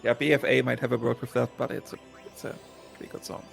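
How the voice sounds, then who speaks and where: A man's voice: medium pitch, little pitch movement, quick pace, gravelly. A man giving casual, explanatory commentary on a video game run, with game music playing behind him. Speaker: speedrun commentator.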